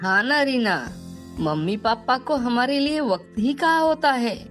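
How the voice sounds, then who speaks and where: A woman's voice: on the high side, expressive, a little slow, a bit bright, soft background music. A woman voicing an earnest, curious young schoolboy in a children's story.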